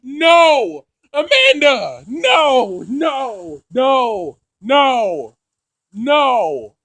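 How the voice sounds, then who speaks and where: A man playing through a visual novel, reading it aloud in an emotional, halting way with dramatic outbursts. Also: very high-pitched, wildly swooping pitch, fairly bright tone, dry recording. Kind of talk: let's play narration with loud reactions.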